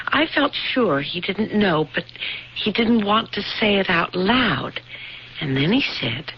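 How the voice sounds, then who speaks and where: An American actress playing an earnest, persistent young bride-to-be in a radio drama, speaking insistently with hesitant pauses. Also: female voice, mid-range voice, a little hiss or hum. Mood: probing, frustrated. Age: young adult. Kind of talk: 1970s radio drama acting. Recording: dull lo-fi old radio broadcast, music behind.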